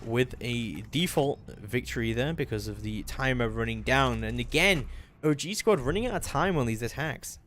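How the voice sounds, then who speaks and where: A man giving live play-by-play commentary on a video game match in an excitable manner. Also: mid-range voice, moderate pace, slight background noise. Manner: excitable, building to shouts at big plays.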